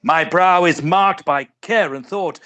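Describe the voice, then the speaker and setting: An actor playing a scheming middle-aged gentleman suitor in radio melodrama, florid, obsequious and overwrought. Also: high voice, slow pace, smooth, lo-fi sound, exaggerated voice. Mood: pleading, ardent. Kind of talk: old-style radio theatre melodrama acting.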